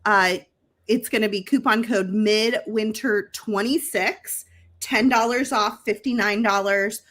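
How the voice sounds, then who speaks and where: A woman's voice: steady tone, a bit bright. A woman co-hosting a craft livestream from home, teasing her co-host with incredulous questions before running through a store deal. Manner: teasing, incredulous questions, then brisk sales pitch. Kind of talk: casual chat and shop promotion.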